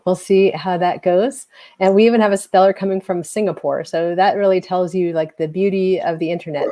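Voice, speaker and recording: A woman's voice: on the low side, dark tone. A woman hosting an online spelling bee in a chatty, rambling way, laughing often, over a video call.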